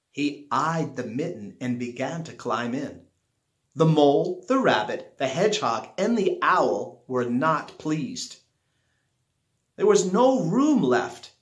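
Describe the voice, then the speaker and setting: A middle-aged man reading a children's picture book aloud at home, stressing and drawing out key words as a storyteller. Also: fairly high pitch. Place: small living room at home, indoors. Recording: roomy, echoey home recording.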